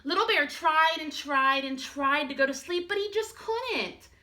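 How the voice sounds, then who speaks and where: A woman teacher reading a picture book aloud to young children, expressive and speaking to them directly. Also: sits high, unhurried. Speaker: first-grade teacher.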